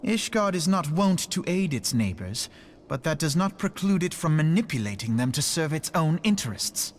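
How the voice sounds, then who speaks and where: High-pitched, proud young nobleman. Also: sing-song, moderate pace, slight background noise. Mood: probing, accusatory. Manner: formal, pointed, archaic diction. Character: proud, sharp-minded young nobleman, probing and precocious. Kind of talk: scripted fantasy game dialogue.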